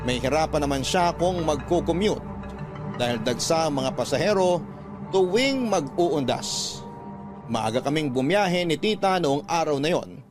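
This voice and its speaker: A man narrates a personal letter as a radio storyteller. His voice is a little high for a man, and he reads in a scripted dramatic style over faint music. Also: animated, a little slow.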